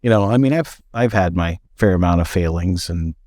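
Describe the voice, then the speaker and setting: A man's voice: deep voice, moderate pace, a little mellow, no echo, clean studio sound. A middle-aged man talking reflectively in a casual podcast conversation, trailing off and restarting his sentences. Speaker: podcast co-host.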